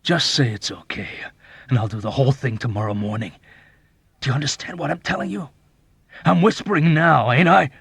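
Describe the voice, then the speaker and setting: A man voicing a belligerent, desperate young airman in an audiobook novel, with a thick, hoarse voice and an agitated, pleading, shouting manner. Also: fairly low pitch, moderate pace, no room sound. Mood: urgent.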